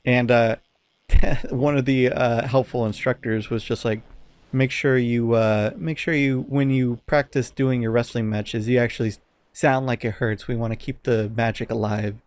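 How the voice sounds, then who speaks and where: A man chatting casually as a podcast host, telling things in a halting, hesitant way. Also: mid-range voice, fairly even intonation, a little mellow, no echo.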